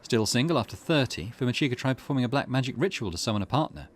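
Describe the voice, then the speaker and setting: Lively man reading game text aloud. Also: medium pitch, rapid-fire. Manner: lively, thinking aloud with hesitations. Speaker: gaming channel host.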